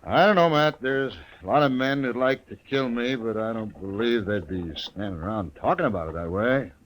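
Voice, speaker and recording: An actor playing a hearty, skeptical Old West sheriff in a 1950s radio western, bluff and folksy, with a rural American accent. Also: male voice, medium pitch, normal speed, lightly acted, rough recording. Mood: amused, skeptical. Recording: old radio recording with noise.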